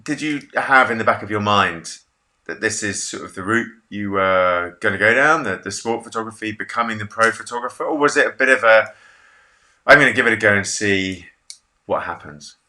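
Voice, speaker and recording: A man's voice: on the low side, moderate pace, bright, forceful tone. Chatty man hosting a video-call interview. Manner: chatty, jokey, self-deprecating. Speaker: interview host. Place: home office room.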